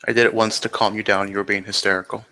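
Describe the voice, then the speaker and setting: A man playing a calm, proud changeling enchanter in a roleplaying game, terse and matter-of-fact. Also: lowish voice, fast talker. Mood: calm, firm.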